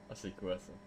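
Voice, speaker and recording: A man's voice: on the low side, background noise. A man chatting casually and argumentatively while gaming, with a mocking manner. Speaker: gamer trolling in online roleplay. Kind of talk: casual gameplay chat.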